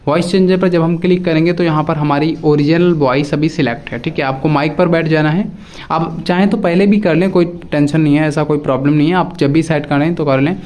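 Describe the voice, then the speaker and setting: A man's voice: on the high side, fairly even intonation, a little fast, a little mellow. A man presenting a casual step-by-step phone app tutorial, addressing viewers directly and often repeating his instructions.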